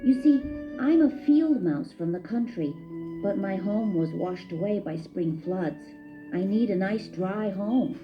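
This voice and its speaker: A humble little country field mouse, played by a woman reading a storybook, speaks slowly and quietly. He is polite and hesitant, with faint music behind him on a slightly muffled recording. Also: medium pitch, animated, natural voice. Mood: hopeful.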